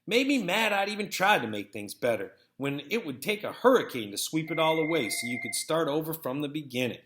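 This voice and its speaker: A man reading a first-person story aloud as narrator, with an expressive, folksy storytelling manner. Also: on the high side, fairly quick, background noise. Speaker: read-aloud narrator, teacher. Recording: echoey, roomy sound.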